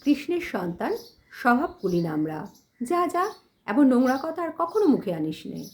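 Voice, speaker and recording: A woman acts out a proud village elder brother in an audio storybook. He scolds with emphatic indignation, pitched up and swinging widely in tone. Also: very animated, moderate pace, a little mellow. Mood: angry, indignant. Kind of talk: dramatized storybook narration.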